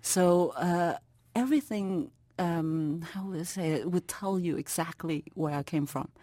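A middle-aged woman from Vietnam, an author being interviewed, with a big, expressive voice and frequent laughter. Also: lowish voice, unhurried, no room sound. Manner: expressive, lively, many restarts. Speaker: author, guest. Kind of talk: interview conversation.

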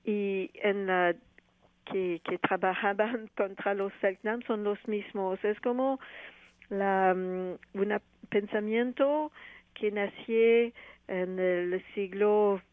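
Lady on a phone line, slow and halting, searching for her words, not a native speaker. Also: mid-range voice, steady tone, no echo. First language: not Spanish. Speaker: playwright and actress, guest. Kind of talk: radio interview answers.

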